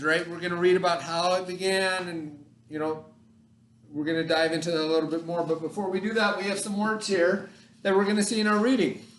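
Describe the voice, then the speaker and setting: A man teaching a class from home, voice sitting a little high for a man. He explains each word patiently with everyday examples and keeps checking that the kids follow. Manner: checks in often with "okay" and "right". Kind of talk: recorded classroom lesson for students at home.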